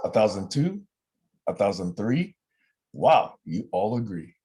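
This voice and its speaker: A man who speaks English as a second language, running a casual group call in a deliberate way. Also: on the low side, very animated, mellow, slightly rough. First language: not English. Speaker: meeting facilitator.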